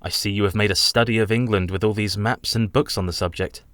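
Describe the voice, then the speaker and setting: An actor playing an earnest, polite young solicitor in a radio play, speaking formally and precisely. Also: male voice, on the low side, steady tone, fast talker, a bit bright, dry recording, clean studio sound, lightly acted. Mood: polite, businesslike.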